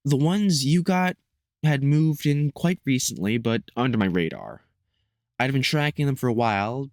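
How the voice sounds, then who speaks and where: A man playing a gruff, protective veteran vampire hunter in an audio roleplay, talking hesitantly and with light sarcasm to the listener. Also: mid-range voice, no echo, studio quality.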